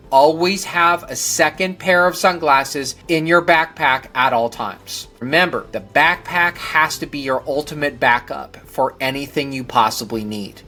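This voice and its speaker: A man's voice: on the high side, pushed and bright. A man presenting instructional advice to viewers in an earnest, emphatic way, repeating key words for stress. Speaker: presenter of a disaster preparedness show.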